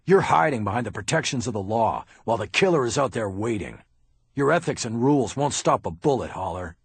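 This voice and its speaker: A man voicing a gruff, tough middle-aged police detective who talks bluntly and curses. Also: medium pitch, expressive, fairly quick, no room sound. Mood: angry. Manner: blunt, curses, barks orders. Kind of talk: audiobook character reading.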